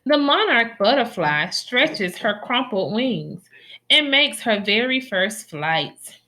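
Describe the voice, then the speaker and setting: A woman reading a children's nonfiction story aloud in a deliberate, instructional way for young listeners. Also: fairly high pitch, sing-song, pushed and bright, low-quality recording.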